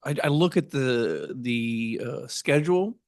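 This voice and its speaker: A man's voice: medium pitch, expressive, measured pace, dry recording, clean studio sound. A man at a public meeting asking questions in a hesitant way, with plenty of fillers. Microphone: Zoom meeting audio.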